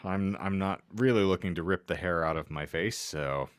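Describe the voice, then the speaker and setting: A man hosting a podcast, reading bad fan fiction aloud with deadpan, exasperated banter. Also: deep voice, moderate pace, clear, resonant tone, no echo, studio quality. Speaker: podcast host.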